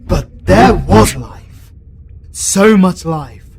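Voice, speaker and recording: A British man voicing an anguished young male demon hunter who fights possession, pleading and desperate, sometimes breaking into shouts. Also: high voice, animated, extremely slow, creaky. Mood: furious. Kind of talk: fantasy audio roleplay. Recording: loud ambient noise behind.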